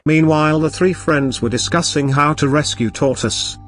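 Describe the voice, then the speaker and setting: A man's voice: a little high, normal speed, a bit bright, faint music behind. A man narrating a scripted children's moral story as storyteller.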